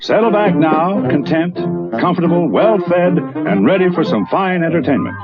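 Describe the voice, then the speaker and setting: Male promo announcer, cajoling, lively pitch swings, loud music, muffled vintage sound. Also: normal speed. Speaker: drive-in intermission announcer. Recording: dull old drive-in promo reel.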